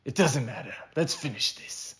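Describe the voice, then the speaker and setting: A man's voice: mid-range voice, expressive, normal speed, voice pushed up, natural voice. A man playing a vengeful, scarred cowboy hunting an assassin in a short story, with melodramatic and emphatic delivery. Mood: impatient.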